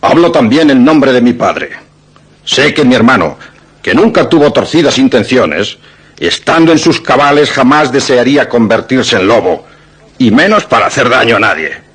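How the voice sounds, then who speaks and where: An actor with a Castilian accent playing a solemn, loyal brother, formal and declamatory, in a radio drama. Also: male voice, medium pitch, animated, normal speed, fairly bright tone, husky. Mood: resolute, grave. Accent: Castilian Spanish. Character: solemn, loyal brother defending an accused man. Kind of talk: Spanish radio drama acting.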